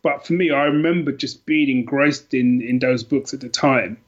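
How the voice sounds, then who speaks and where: A man's voice: mid-range voice, moderate pace. A middle-aged British man chatting casually and reflectively as a podcast guest, often repeating and rephrasing as he thinks aloud. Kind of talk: casual chat about favourite books. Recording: dull, lo-fi podcast audio.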